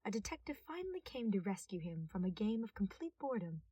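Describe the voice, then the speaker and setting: A woman's voice: medium pitch, big pitch swings, quick pace, mellow, breathy edge, no room sound, recorded from a distance, highs cut off. A woman reading scripted fiction aloud as a narrator. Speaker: podfic narrator.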